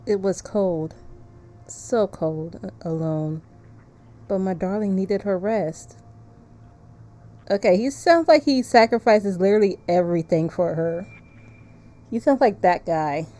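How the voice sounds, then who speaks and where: A woman with a soft-edged, mellow voice streams a narrative game. She moves between casual commentary and reading the text aloud, at a middling pitch for a woman. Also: a little slow, pauses often. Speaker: streamer playing a visual novel.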